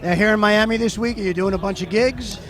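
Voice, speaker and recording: A man hosting a radio interview backstage at a music event, asking brisk, upbeat questions. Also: high-pitched. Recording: loud music in background. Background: music playing.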